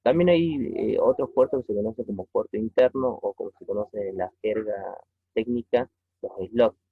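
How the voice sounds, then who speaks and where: A man teaching a technology lesson informally, explaining step by step in a halting way with short checking questions. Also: fairly low pitch, gravelly, breathy edge, dry recording. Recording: tinny phone-like narrow-band audio.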